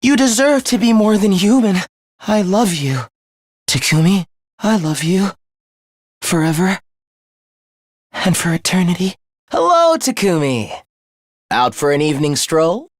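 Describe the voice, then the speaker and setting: A man's voice: sits high, lively intonation, measured pace, long pauses, dry recording, clean studio sound. A man voicing a young male student in an anime game, sweetly idealistic yet secretly sinister, with a light, polite, theatrical delivery. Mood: tender, quiet.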